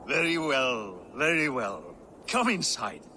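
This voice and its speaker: An actor playing a cautious aging Viking king and father, measured and placating. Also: mid-range voice, sing-song, sharp tone, a little hiss or hum, full character voice. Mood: welcoming. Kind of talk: video game cutscene acting.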